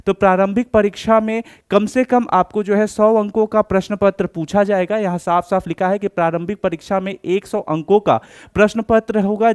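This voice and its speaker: Man, high register, crisp clean voice, instructional explainer, checks understanding. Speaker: exam coaching instructor. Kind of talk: explanatory lesson to students.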